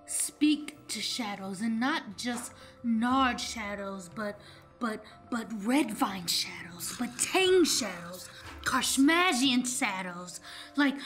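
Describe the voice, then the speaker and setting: A woman playing a haughty, mystical noble in an improvised comedy radio play, speaking pompously with dramatic repetition. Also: a little high. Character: haughty, mystical member of a shadow-communing noble house. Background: faint music.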